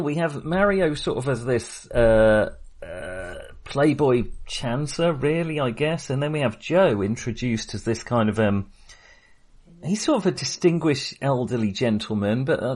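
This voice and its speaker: A man co-hosting a podcast, casually discussing films in halting, hesitant phrases. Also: medium pitch, a little mellow. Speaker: podcast co-host.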